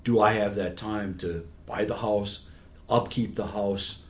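A man's voice: lowish voice, steady tone, unhurried. A man in his sixties giving patient, conversational explanations with everyday examples, as an expert guest in an interview. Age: 60s.

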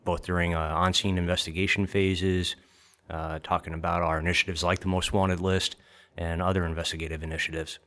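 A man's voice: deep voice, brisk. A middle-aged man, a retired officer who now runs media relations, talking steadily on a podcast with a few fillers. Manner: steady, organized, with occasional fillers. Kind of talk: casual podcast chat.

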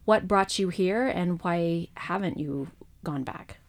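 A woman's voice: fairly low pitch, slow. A woman hosting an interview, giving brief, inviting prompts to her guests.

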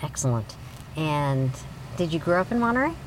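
A woman's voice: low-pitched, sing-song, moderate pace, slight background noise, poor audio. A woman hosting an interview show, reading a scripted introduction and then asking questions in an earnest way, laughing often. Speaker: podcast host. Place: outdoors at a beach.

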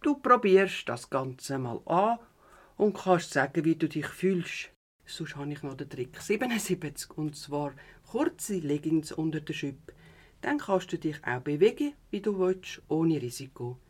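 A woman's voice: deep voice, expressive, unhurried, darkish tone, slightly rough, exaggerated voice. A woman with a Swiss German accent playing an easygoing, supportive mother of a teenager in a youth radio play. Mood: reassuring. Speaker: actor in an audio drama.

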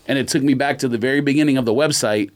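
A man's voice: medium pitch, very fast. A man co-hosting a podcast in casual chat, explaining things in an emphatic, opinionated way. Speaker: podcast co-host.

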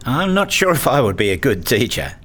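A man narrating a first-person radio story in a measured, reflective way, also voicing the father's lines. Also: mid-range voice, very animated, fairly bright tone, husky. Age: middle-aged. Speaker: narrator and lead actor playing a father.

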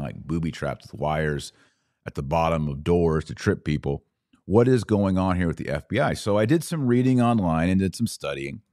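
A man's voice: low voice, a little mellow, dry recording, studio quality. An American man hosting a podcast, giving news commentary in a conversational, emphatic manner. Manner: conversational, emphatic, rhetorical questions. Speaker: podcast host. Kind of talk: news commentary to a live online audience, reading out quotes.

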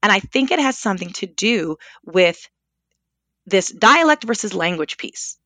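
A woman's voice: medium pitch, expressive, a little slow, pushed and bright, no echo. A woman on a podcast speaking earnestly, drawing on her own research and experience. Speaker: podcast panelist. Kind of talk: podcast discussion.

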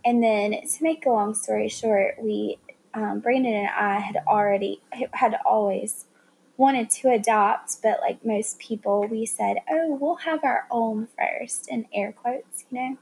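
A woman's voice: fairly high pitch, unhurried, gravelly, a little mellow, slight background noise, low-quality recording. A woman in her thirties with a Southern accent, telling a personal story earnestly in a conversation. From South Carolina. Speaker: guest. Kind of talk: casual conversation.

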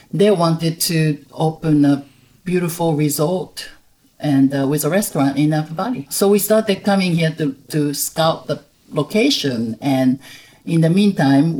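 A middle-aged woman from Japan speaking English, talking as a guest in a casual interview, soft-spoken and hesitant, with many fillers. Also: low voice, poor audio. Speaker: guest, winery owner.

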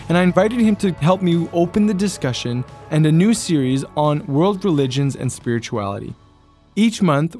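A man hosting a podcast who introduces his guest in an upbeat and friendly way. His voice is close and dry, and soft music sits faintly behind it. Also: on the high side, no echo. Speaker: podcast host.

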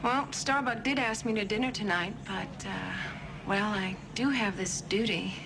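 An actress playing a dutiful young bridge officer with a coy, hinting manner in television science fiction drama. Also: female voice, medium pitch, unhurried, gravelly. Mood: coy, wistful. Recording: noisy TV soundtrack with ship ambience.

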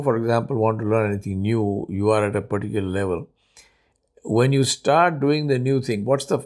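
A man in his seventies from India, whose first language is Urdu, hosting a solo podcast in a thoughtful, unhurried way with many pauses. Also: medium pitch, a little mellow. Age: 70s. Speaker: podcast host. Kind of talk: reflective solo podcast monologue.

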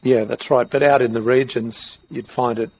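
A man's voice: mid-range voice, fairly even intonation, moderate pace, darkish tone, rough recording. A middle-aged Australian man interviewing in a conversational, reflective way, circling around his questions.

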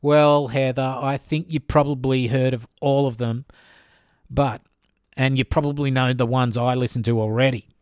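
A man's voice: mid-range voice, fairly even intonation, normal speed, a little mellow, clear, resonant tone, dry recording, studio quality. A man with an Australian accent hosting his own podcast, answering listener questions in a chatty, informal way. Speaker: podcast host.

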